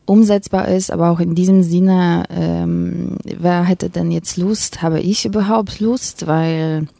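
A woman's voice: fairly low pitch, steady tone, slow, vocal fry, dark tone, no echo. A woman from Poland with a Polish accent, a festival organizer being interviewed, speaking hesitantly with many fillers. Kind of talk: radio interview.

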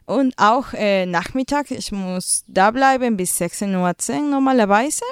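A woman's voice: mid-range voice, unhurried, clean tone, no room sound, studio quality. Hesitant young woman with a Peruvian Spanish accent. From Peru. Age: young adult. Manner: hesitant, searching for German words with many fillers. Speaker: guest, school volunteer. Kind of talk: casual radio interview.

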